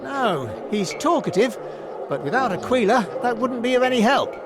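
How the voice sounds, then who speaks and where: A man's voice: very high-pitched, very animated, normal speed, noisy background. A man voicing a shrewd, confident little warrior hero in a cartoon game, speaking politely and articulately. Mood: polite, resolute. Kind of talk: animated cartoon game voice acting.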